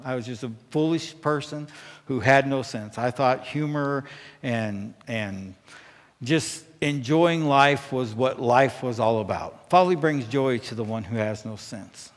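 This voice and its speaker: A middle-aged American man delivers a conversational sermon from a church stage. His voice sits at an average pitch, and he speaks earnestly and with emphasis. Long reverb from the hall trails his words. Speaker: pastor. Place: church stage at a pulpit, indoors.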